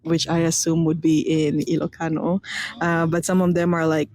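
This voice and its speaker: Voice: mid-range voice, flat delivery, normal speed, a little mellow. A radio interviewer of Filipino heritage asking long, rambling questions full of fillers.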